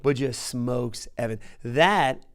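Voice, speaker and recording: A man hosting a podcast, telling stories in casual chat with an animated manner and repeating himself for emphasis. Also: mid-range voice, slow. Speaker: podcast host.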